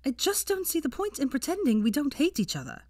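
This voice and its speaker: A woman's voice: high-pitched, wildly swooping pitch, fairly quick, a little mellow, no room sound, clean studio sound, pitched above the performer's own voice. A woman voicing an indignant, combative young witch who snaps and sneers, in an audiobook style story reading. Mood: angry, snapping. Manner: snapping, sneering, terse.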